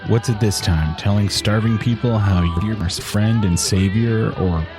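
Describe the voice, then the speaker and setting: An actor playing a defiant, gruff older man with a sardonic, threatening delivery in an audio drama. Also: fairly low pitch, measured pace, music in the background, close to an everyday voice. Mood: sarcastic.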